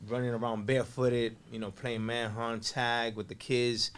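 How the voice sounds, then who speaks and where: A man's voice: mid-range voice, flat delivery, normal speed, a bit bright, clear, resonant tone. A man in his forties from Puerto Rico, raised in Brooklyn, telling his story as a podcast guest in a laid-back way. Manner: laid-back, many "you know" fillers, laughs easily. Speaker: radio DJ, guest. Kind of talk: casual interview storytelling.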